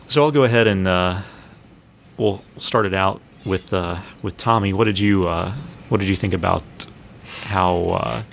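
Gravelly low-voiced man chatting on a podcast. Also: a little slow, a bit bright, a little hiss or hum. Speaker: podcast host. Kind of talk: casual chat.

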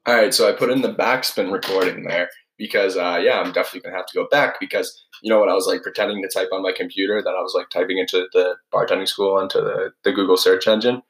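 A man's voice: low voice, steady tone, fast, hardly any pauses, rough recording. A young man hosting his own podcast, telling a story in a casual, rambling way full of filler words. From New England. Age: young adult. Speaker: podcast host.

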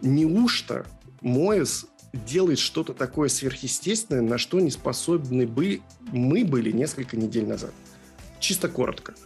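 A man's voice: mid-range voice, animated, unhurried, a little mellow, faint music behind. A man co-hosting a casual football podcast, chatty and playful as he sets up topics and teases his co-host.

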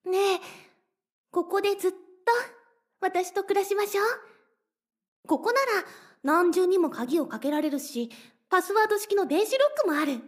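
Very high-voiced obsessive lovestruck girl. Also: moderate pace, pauses often. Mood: coaxing, possessive. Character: obsessively lovestruck young woman, possessive, sweetly menacing captor. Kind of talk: Japanese anime-style voice drama acting.

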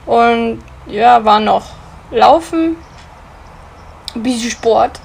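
Slow, giggly young woman. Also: on the high side, creaky, a little hiss or hum. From Munich area, Germany. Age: 20s. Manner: relaxed, giggly, adds short remarks. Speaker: podcast co-host. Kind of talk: casual chat. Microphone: video call.

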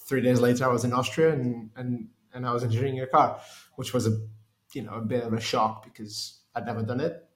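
A man's voice: mid-range voice, reverberant. A man from Portugal in his thirties, a race engineer answering interview questions in a hesitant way with many restarts. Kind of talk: conversational interview.

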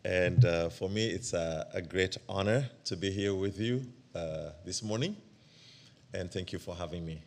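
Brief: a male guest preacher, lowish voice, gravelly, hesitant delivery. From Ethiopia. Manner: hesitant, halting, many fillers and restarts. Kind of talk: church sermon.

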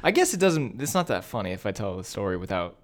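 A man's voice: lowish voice, big pitch swings, fast talker. A young American man co-hosting a podcast, telling stories and bantering in a casual, teasing way. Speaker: podcast co-host.

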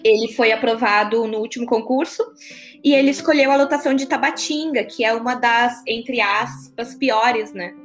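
A woman's voice: fairly high pitch, moderate pace, faint music behind. A woman in her thirties from Porto Alegre with a strong gaúcho accent, chatting casually as an interview guest, laughing easily and using plenty of fillers. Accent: Porto Alegre (gaúcho) Portuguese. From Porto Alegre, southern Brazil. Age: 30s. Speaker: guest, court officer and study mentor.

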